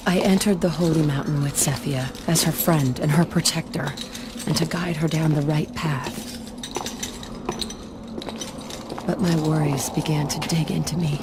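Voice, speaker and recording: A woman's voice: low voice, steady tone, moderate pace, lightly acted. A woman playing a grave, resolute warrior burdened by hidden despair in a video game cutscene. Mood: brooding. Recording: clearly noisy game audio.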